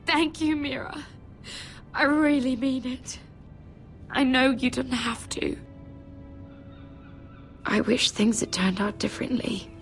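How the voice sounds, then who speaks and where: An actress playing a gentle, earnest young noblewoman who speaks softly and sometimes drops to a whisper, in a story game. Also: high-pitched, fairly even intonation, soft background music. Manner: soft, slow and earnest, dropping to whispers.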